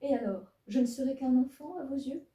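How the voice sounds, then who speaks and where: Girl doing lovesick wizard guy begging, mellow voice, echoey room. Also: high voice, a little fast, voice pushed up, natural voice. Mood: pleading. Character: smitten flustered male wizard author. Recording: roomy, distant mic.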